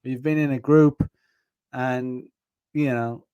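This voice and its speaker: A man's voice: mid-range voice, steady tone, slow, dark tone, clear, resonant tone, lo-fi sound. A man talks loosely on a casual stream, circling back on himself with constant fillers and frequent laughs. His voice sits in an ordinary middle range. The sound is dry and close, with no room echo. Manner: rambling, heavy on fillers, laughs often. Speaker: dating advice streamer. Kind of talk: casual rambling livestream talk.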